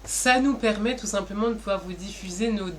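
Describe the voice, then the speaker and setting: A woman's voice: mid-range voice, fairly quick, fairly bright tone. A woman co-presenting in a semi-formal, improvised way, explaining things directly to the viewers. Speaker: co-presenter explaining an online community. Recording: clear background noise and ambience.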